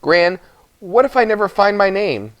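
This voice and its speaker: A man's voice: sits high, animated, normal speed, dry recording, dull audio, voice pushed up. Man reading as an earnest, anxious twelve-year-old boy. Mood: earnest, worried. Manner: earnest, questioning.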